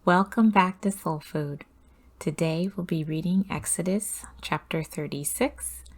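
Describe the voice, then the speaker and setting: A woman hosting a devotional scripture reading, speaking in a measured, reverent and solemn manner. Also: lowish voice. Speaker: host reading scripture aloud.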